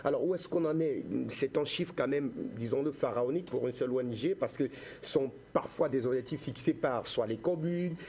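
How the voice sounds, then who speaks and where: A man's voice: medium pitch, fairly quick, hardly any pauses, dark tone, slight background noise. A man interviewing a guest on television, putting wordy, emphatic questions that he often rephrases. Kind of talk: talk show interview questioning.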